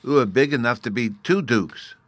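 A man reading a storybook aloud, voicing a small, mischievous and sulky boy fairy who lisps "oo" for "you" and talks in a petulant, lively way. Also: mid-range voice, normal speed, no echo, voice pushed up, natural voice. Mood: curious. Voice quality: childish lisp, says "oo" for you.

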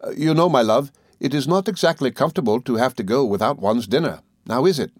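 A man voicing an earnest, loving young husband in an audiobook, reasoning gently and formally. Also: medium pitch, lively intonation, fast, no echo, studio quality, pitched above the performer's own voice, close to an everyday voice. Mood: tender coaxing. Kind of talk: audiobook character dialogue.

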